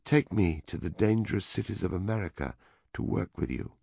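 A man voicing an impassioned European woman who speaks softly and earnestly. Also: lowish voice, big pitch swings, unhurried, creaky, husky, dry recording, clean studio sound, voice pushed up, full character voice. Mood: pleading. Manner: soft, earnest, pleading.

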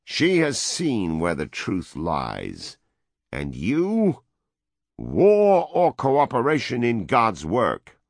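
A man voicing a domineering male world president, loud, preaching and dictatorial. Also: mid-range voice, huge pitch swings, extremely slow, vocal fry, dry recording, clean studio sound, pitched above the performer's own voice, heavily acted. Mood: triumphant.

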